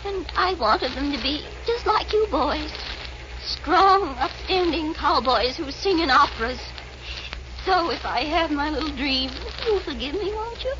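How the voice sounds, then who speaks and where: A feisty old granny from a 1940s Western radio comedy. Her high voice swoops about, folksy, coy and theatrical, through a dull and very noisy old broadcast recording. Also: expressive, a little slow. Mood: doting, coy. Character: feisty, fibbing old grandma, coy and doting.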